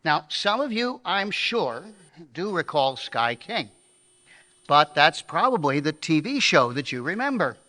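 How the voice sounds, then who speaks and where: A man with a fairly high, very bright voice introduces a live show from the stage. He phrases things emphatically, like a showman, and his voice rings in a reverberant hall. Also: sing-song, measured pace. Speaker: presenter and member of a radio re-creation troupe. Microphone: stage microphone.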